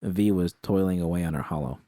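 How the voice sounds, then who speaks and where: A man's voice: sits low, a little fast, mellow, no room sound, studio quality. A man reading a first-person story aloud as scripted narration. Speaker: narrator reading fan fiction.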